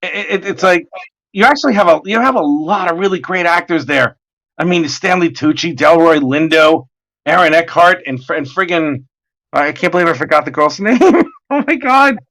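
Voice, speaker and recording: Hoarse man, bright high voice, jokey and excitable, casual stream host. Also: moderate pace. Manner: jokey, excitable, swears freely. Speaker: artist hosting a livestream. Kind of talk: casual chat while drawing.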